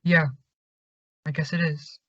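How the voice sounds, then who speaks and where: Bright, curt, resentful young man played by a man. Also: fairly high pitch, flat delivery, takes it slowly, no room sound. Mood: sullen. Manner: curt, sardonic, slangy. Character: resentful, wounded young man, terse small-town pal. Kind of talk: old-time radio suspense acting. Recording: slightly dull, muffled audio.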